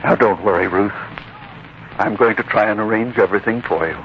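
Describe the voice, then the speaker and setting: A man acting a kindly, humble old scholar in a 1940s radio drama, speaking in a halting, courteous, avuncular way. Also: on the low side, natural voice. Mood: thoughtful, reassuring. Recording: muffled, noisy 1940s radio recording.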